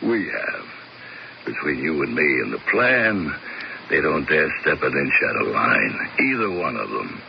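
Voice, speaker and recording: An actor playing a blunt, cocky hired enforcer in a 1970s radio drama, with a gravelly voice. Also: male voice, very deep voice, big pitch swings, a little slow, lo-fi sound, exaggerated voice. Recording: hissy old radio broadcast.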